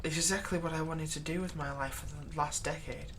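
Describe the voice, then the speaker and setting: A man's voice: a little high, steady tone, fairly quick, background noise, close to an everyday voice. A man playing a servile, nervous vampire's thrall in a roleplay audio drama, stammering and deferential. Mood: wistful, bitter. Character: servile, nervous male vampire's thrall, bitterly eager to please.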